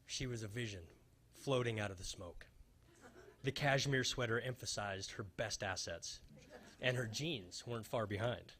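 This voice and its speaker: Man acting a bright-voiced hapless gumshoe. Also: lowish voice, normal speed. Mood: wry narration. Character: wisecracking, hapless male private fire investigator narrating a noir spoof. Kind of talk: old-time radio detective spoof, staged reading.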